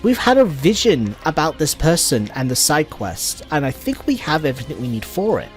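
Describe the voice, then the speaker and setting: A man's voice: fairly high pitch, sing-song, moderate pace, soft background music. A man giving casual gameplay commentary as a let's play host.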